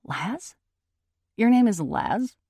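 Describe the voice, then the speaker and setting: A woman's voice: on the low side, lively intonation, moderate pace, darkish tone, no room sound. A woman voicing a guarded, sarcastic young woman in an audiobook, with a pointed manner. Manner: pointed, sardonic. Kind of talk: audiobook character dialogue.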